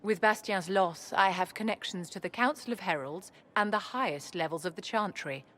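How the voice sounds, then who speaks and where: An actress playing a scheming aristocratic enchantress in a game, imperious and silkily condescending. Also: female voice, medium pitch, normal speed, a bit bright, natural voice. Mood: smug. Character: haughty, scheming aristocratic enchantress courtier, poised. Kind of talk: dramatic game acting.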